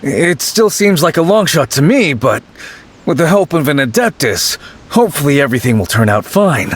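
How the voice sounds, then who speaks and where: Cowardly game bandit, higher male voice, lively intonation, smug, wheedling. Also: moderate pace, a little hiss or hum. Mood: relieved. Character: cocky, cowardly petty bandit. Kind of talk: video game voice acting.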